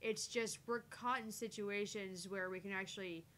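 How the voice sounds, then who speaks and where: A woman's voice: fairly even intonation, moderate pace, a bit bright. A woman co-hosting a livestream, talking casually in a frank, self-deprecating way. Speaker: livestream co-host of a gaming channel. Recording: noisy mic with low rumble.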